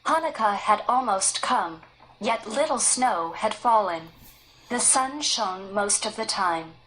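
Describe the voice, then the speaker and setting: A woman reading a children's story aloud in an even, careful storytelling manner. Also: medium pitch, takes it slowly, rough recording. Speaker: story reader. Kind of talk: read-aloud storybook narration.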